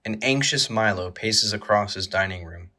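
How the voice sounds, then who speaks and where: Low, bright-voiced male drama narrator. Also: normal speed.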